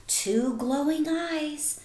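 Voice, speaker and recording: A woman reading a picture book aloud to children like a teacher, expressive and deliberate, pausing to explain. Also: high voice, slight background noise. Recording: roomy echo.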